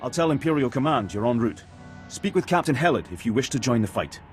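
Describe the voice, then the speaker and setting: A man acts a dutiful officer of a space empire in a video game. His voice is mid-pitched and formal, with a brisk military manner and faint music behind it. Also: expressive, close to an everyday voice. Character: businesslike, dutiful male Imperial spaceport officer.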